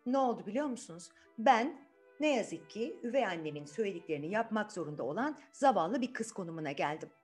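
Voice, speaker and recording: Woman as a put-upon kind young fairy-tale heroine, chatty, animated intonation, explaining to children, music behind. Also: medium pitch, fast, lightly acted. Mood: explaining, plaintive.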